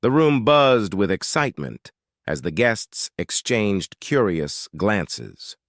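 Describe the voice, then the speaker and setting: Low, expressive male mystery narrator. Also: slow, no echo, clean studio sound. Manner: suspenseful, expressive storytelling. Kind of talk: dramatic scripted narration.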